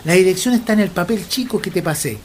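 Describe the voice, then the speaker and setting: A woman's voice: fairly low pitch, expressive, fast talker, a little mellow, slight background noise, close to an everyday voice. A woman voicing a man in his seventies with memory loss, anxious and disoriented, in a community radio drama. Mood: worried scolding. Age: 70s.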